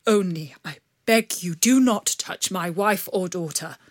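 Woman reading as a fretful older nobleman, pleading, slow, emphatic, storybook. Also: fairly low pitch, no echo, studio quality. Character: anxious older nobleman, a protective father.

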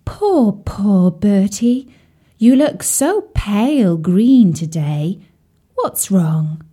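Woman as a slow, sighing romantic lady swan. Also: mid-range voice, very animated, dark tone, slightly rough, exaggerated voice. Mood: sighing sympathy. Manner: sighing, gushing, dramatic. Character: tender, lovesick, romantic female swan. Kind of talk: children's storybook character voice.

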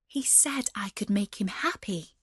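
A woman's voice: medium pitch, animated, a little slow, slightly rough, no room sound, studio quality. A woman voicing a sweet young storybook heroine, timid yet polite, earnest in her exclamations. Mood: puzzled.

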